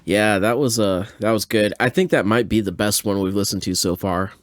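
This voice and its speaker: A man's voice: fairly low pitch, moderate pace. A man hosting a listening session, reacting in casual, offhand chat. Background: loud music and old radio show.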